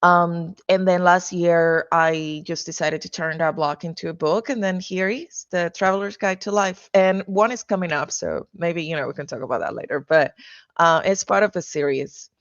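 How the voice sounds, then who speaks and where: Low-voiced woman with a hesitant manner. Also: moderate pace, no echo, dull audio. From Venezuela. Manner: hesitant, many fillers and restarts, laughs easily. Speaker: guest, realtor and author. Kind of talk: casual interview answers.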